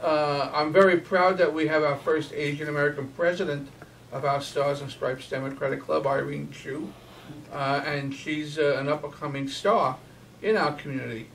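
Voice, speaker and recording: A man giving public remarks as a club leader at a community forum, in a hesitant, halting delivery. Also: on the high side, steady tone, gravelly, fairly bright tone. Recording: distant mic, faint background noise.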